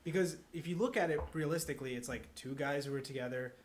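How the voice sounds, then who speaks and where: A man with a slightly high voice talks very quickly on a podcast panel. He rambles through his opinions in a casual, chatty way, checking in with his listeners as he goes. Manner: rambling, peppered with "like" and "right?".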